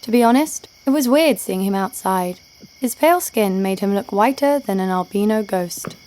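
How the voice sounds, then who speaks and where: A woman's voice: medium pitch, animated, normal speed, darkish tone, slight background noise, natural voice, poor audio. A young woman playing a sarcastic, foul-mouthed bounty hunter who narrates her own story in an audio drama. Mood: wry narration. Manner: dry, sardonic. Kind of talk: first-person narration and dialogue in audio drama. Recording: music behind the voice.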